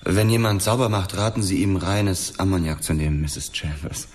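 An actor playing a courteous, reserved police lab officer in radio drama dialogue. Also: male voice, deep voice, fairly even intonation, moderate pace, lightly acted. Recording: long reverberant hall, faint noise.

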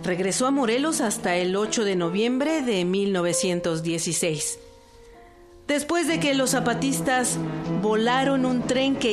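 A woman narrates a historical radio drama from a script. Her voice sits at a medium pitch, with music clearly playing behind her.

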